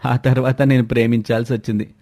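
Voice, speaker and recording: A man's voice: mid-range voice, level tone, fast talker, warm tone, lightly acted. Man playing a good-natured young soldier. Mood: recounting.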